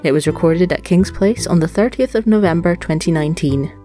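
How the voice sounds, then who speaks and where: Woman doing a scripted podcast intro, lowish voice, bit of guitar music behind. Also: fairly quick, mellow. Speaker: podcast creator introducing an episode. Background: plucked guitar music.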